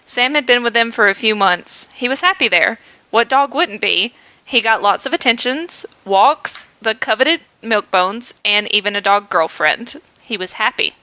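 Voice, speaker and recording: A woman podcast host reads a comic story aloud, playful and quick to laugh at the text. Her voice is bright and a touch high. The microphone sound is dry and close. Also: lively intonation, dry recording.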